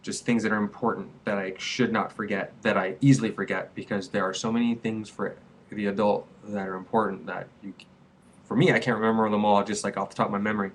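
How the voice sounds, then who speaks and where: A man's voice: fairly low pitch, little pitch movement, fast, slight background noise. A man in his twenties, a small business owner, talking casually and earnestly to camera with a rambling, repetitive flow. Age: 20s. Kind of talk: casual vlog advice to camera.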